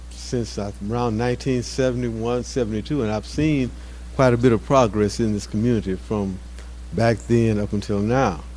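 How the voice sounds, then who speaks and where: A man in his seventies giving an informal off-the-cuff address to his community, halting and often repeating words as he searches for phrases. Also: mid-range voice, darkish tone, a little hiss or hum. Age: 70s. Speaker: mosque community elder speaking at an anniversary event.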